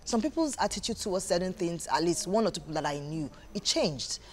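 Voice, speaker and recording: A woman's voice: fairly low pitch, very animated, fairly quick. Nigerian woman, earnest, with some background noise. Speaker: interview guest, stage play director. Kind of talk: conversational TV interview.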